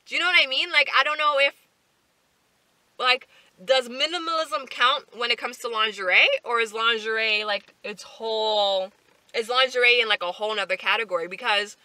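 A young woman talks straight to camera from her parked car, her voice bright and sharp with a slightly raised pitch. She chats loosely and animatedly, piling on fillers and asides. The sound is close and dry, with no room around it. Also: no room sound, poor audio. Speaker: vlogger. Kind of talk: casual chat to camera. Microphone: camera on the dashboard.

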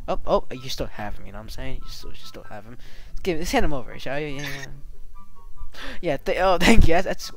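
A man playing an excitable, bratty restaurant worker in an improvised comic game roleplay, whining and repeating himself. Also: medium pitch, a little fast, over music, natural voice, rough recording. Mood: bantering. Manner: excitable, whiny, repeats phrases. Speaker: player in a Minecraft roleplay video.

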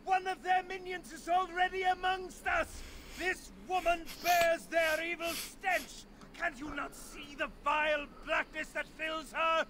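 An actor playing a crazed, despairing refugee doomsayer in a fantasy video game, declaiming fervently in drawn-out phrases. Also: male voice, extremely high pitch, level tone, background noise. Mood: frantic, ranting.

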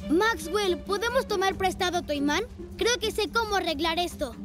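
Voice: very high-pitched, normal speed. A performer voicing a brave, eager little rescue motorbike boy in a children's cartoon dub. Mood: confident. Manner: eager, decisive leader. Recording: music behind the voice.

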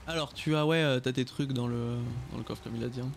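A young man streaming a game on a headset mic, chatting casually with teammates, relaxed and swearing now and then. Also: mid-range voice, fast talker, gravelly, a little hiss or hum. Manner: relaxed, swears casually, thinks aloud while playing. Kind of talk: casual gameplay chat with teammates. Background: game music.

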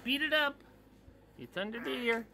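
A woman's voice: a little high, a little slow, music in the background. A woman talking casually and playfully to a pet, teasing it with questions and repeated phrases. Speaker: pet owner filming a parrot. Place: indoors in a wood-paneled room at home. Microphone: handheld camera or phone behind the lens. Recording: noisy home recording with animal sounds.

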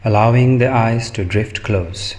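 A man leading a guided meditation in a calm, measured manner, speaking in short phrases with long pauses. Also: fairly low pitch.

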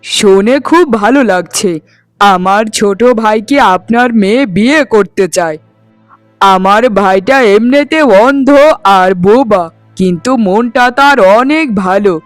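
A man's voice: high voice, a little slow, no echo, faint music behind. A man voicing a polite landlord, a protective elder brother, in a cartoon. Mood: pleased. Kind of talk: cartoon dialogue.

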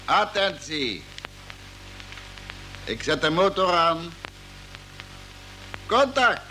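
A man's voice: on the high side, sing-song, drawn-out words, over music, exaggerated voice. An actor playing a businesslike, sceptical spaceship crewman with a matter-of-fact manner in a vintage radio drama. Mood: focused. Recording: noisy old radio recording.

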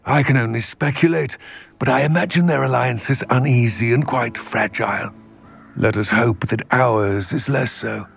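A man voicing a wary, elderly goblin trader in a video game, with measured, formal phrasing and a weathered voice. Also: medium pitch, creaky, breathy edge. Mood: solemn. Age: older. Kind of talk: dramatic video game dialogue.

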